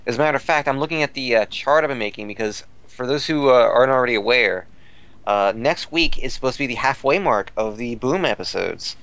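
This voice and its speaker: A man's voice: medium pitch, expressive, a little fast, a bit bright, no room sound. A man talking casually as a podcast commentator about fan news, rambling and repeating phrases as he searches for words.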